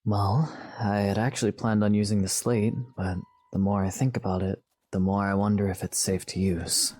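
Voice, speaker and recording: A man playing an earnest, thoughtful young knight in an audio drama, soft-spoken and considered. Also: fairly low pitch, little pitch movement, normal speed, no room sound, close to an everyday voice. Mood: cautious.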